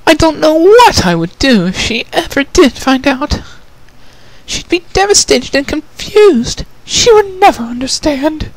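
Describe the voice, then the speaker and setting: Genteel, high-pitched lady pony. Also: sing-song, measured pace, no echo, low-quality recording. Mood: relieved, fragile. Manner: genteel, dainty, theatrical. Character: refined ladylike female unicorn pony, secretly a changeling.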